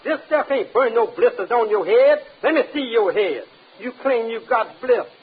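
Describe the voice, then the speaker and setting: Very high-voiced blustering radio pharmacist. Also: male voice, expressive, moderate pace, exaggerated voice. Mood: dismissive. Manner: blustering, self-important, folksy. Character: cranky bluffing male drugstore clerk, brashly incompetent pharmacist. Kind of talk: 1950s radio situation comedy acting. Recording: muffled old radio recording, clear hiss.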